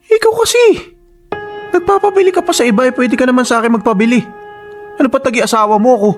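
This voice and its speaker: A man playing a possessive, quick-tempered husband in a radio drama, with a demanding, argumentative manner. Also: extremely high pitch, soft background music. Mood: angry, bossy. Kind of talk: Tagalog radio drama acting.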